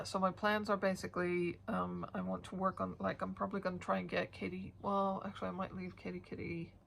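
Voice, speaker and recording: A woman's voice: on the low side, vocal fry, a bit bright, slight background noise. A woman vlogging about her stitching in a rambling casual chat, sniffly and stuffed up, with many hesitant fillers.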